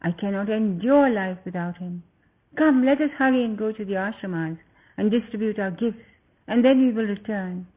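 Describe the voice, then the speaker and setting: A storyteller voicing a gentle, devoted young queen who grows anxious about her husband. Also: mid-range voice, moderate pace, natural voice. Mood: anxious pleading. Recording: tinny phone-like lo-fi sound.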